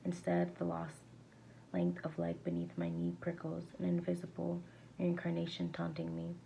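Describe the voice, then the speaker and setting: A woman reads verse aloud in a slightly low, very creaky voice with a soft, dark tone. Her delivery is halting, with words now and then stumbled over and read again. There is faint noise behind her. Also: completely flat delivery, dull audio. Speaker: amateur reader. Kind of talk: reading a verse novel aloud.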